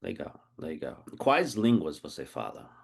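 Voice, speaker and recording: A man acting as host and teacher, asking slow, simple questions in a casual oral interview. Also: a bass voice, wildly swooping pitch, creaky.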